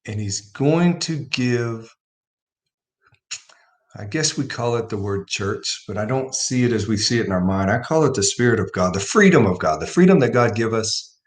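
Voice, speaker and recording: A man hosting a livestream, talking casually about faith and telling a personal story with rising emphasis. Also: medium pitch. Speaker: livestream host.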